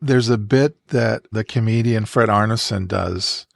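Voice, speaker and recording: Gravelly, halting podcast co-host man. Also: on the low side, dry recording, clean studio sound. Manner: halting, stop-start phrasing. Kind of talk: casual chat.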